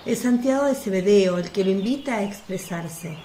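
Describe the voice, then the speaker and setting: A woman narrates a religious radio play at a middle pitch. Her voice rings with echo, and faint birdsong and outdoor ambience sit behind her. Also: expressive, normal speed, mellow. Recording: echoey, faint noise with birdsong.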